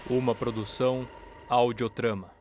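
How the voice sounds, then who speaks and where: A man delivering solemn, deliberate scripted narration for a dramatic production. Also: mid-range voice, level tone, a little mellow, slight background noise. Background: music.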